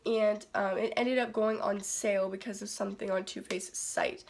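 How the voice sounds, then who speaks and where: A teenage girl talks straight to her phone camera about her favorite makeup, sounding raspy and congested from a cold. Her chat is loose and rambling and full of "like" and "um". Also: medium pitch, fairly even intonation, moderate pace. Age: teens. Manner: chatty, rambling, heavy on "like" and "um". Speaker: beauty vlogger.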